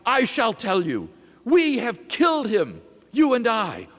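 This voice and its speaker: A man's voice: extremely high pitch, huge pitch swings, slow, fairly bright tone, pitched above the performer's own voice, exaggerated voice. A man voicing an anguished, accusing madman with declamatory, urgent delivery in a dramatic reading. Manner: declamatory, urgent rhetorical questions. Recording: reverberant lecture hall.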